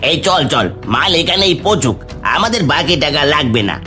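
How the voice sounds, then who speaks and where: Bright, high-voiced village vendor played by a man. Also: sing-song, moderate pace, soft background music. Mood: defiant. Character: male village market vendor, defiant and pleading. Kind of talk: cartoon character dialogue.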